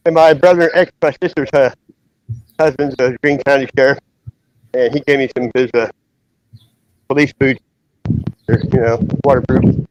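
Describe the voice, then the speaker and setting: A man's voice: medium pitch, fairly even intonation, moderate pace, pauses often, darkish tone, a little hiss or hum, rough recording. A man chatting casually on a live stream outdoors, filming with a chest-mounted phone, rambling and swearing now and then. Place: outdoors in bright sun. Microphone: phone camera on chest.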